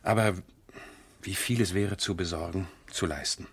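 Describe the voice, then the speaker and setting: Persuasive country gentleman, earnest and coaxing, with a lowish, breathy voice, classic radio drama. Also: moderate pace, dry recording. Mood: earnest, urging. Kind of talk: 1970s German radio drama acting.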